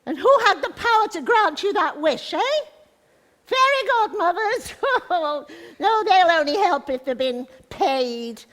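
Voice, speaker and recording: A woman's voice: extremely high pitch, sing-song, measured pace, a bit bright, full character voice. A woman playing a gloating, bitter wicked witch in live pantomime, theatrical and taunting in a reverberant theatre. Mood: menacing, taunting. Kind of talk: live pantomime stage acting. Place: indoor theatre stage. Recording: echoey theatre hall, long reverb.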